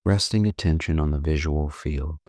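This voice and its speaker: A man leading a guided meditation as a coach, calm and unhurried, gently instructive with long pauses. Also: very deep voice, no echo, clean studio sound.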